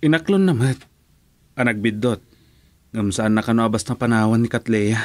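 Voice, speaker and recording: A man's voice: mid-range voice, normal speed, a little mellow, no room sound, close to an everyday voice. A man acting a mild-mannered, courteous friend in an Ilocano radio drama. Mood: hesitant.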